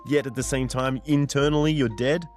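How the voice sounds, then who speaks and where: A man's voice: medium pitch, fairly even intonation, fairly quick, darkish tone. Earnest forty-something man coaching, faint music. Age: 40s. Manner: earnest, direct, repetitive emphasis. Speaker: mindset coach. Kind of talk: motivational monologue.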